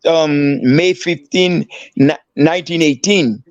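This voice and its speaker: An older man from Haiti shares football memories in a slow, halting way, circling back and restarting his sentences. His voice sits fairly high for a man, and the recording is dry and dull, a lo-fi capture with no room sound. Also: dry recording. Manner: halting, many restarts and fillers. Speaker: guest, former footballer. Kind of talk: storytelling interview.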